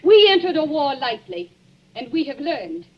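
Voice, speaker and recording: A woman's voice: high voice, sing-song, moderate pace. An actress playing a grand society lady addressing a village concert in a formal, declamatory, very proper manner, in old-time radio drama style. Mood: solemn. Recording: dull, noisy old radio recording.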